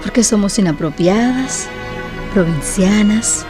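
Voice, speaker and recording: A woman's voice: medium pitch, expressive, slow pace, over music. An actress playing a witty, proud woman novelist of modest means in a radio drama, speaking with articulate irony. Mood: bitter irony. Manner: ironic, articulate.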